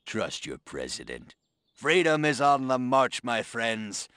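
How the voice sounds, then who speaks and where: A man's voice: mid-range voice, huge pitch swings, slow, fairly bright tone, smooth, dry recording, studio quality, full character voice. A man playing a folksy, pompous American president giving a reassuring scripted address in a 1950s game. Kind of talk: televised political address, scripted.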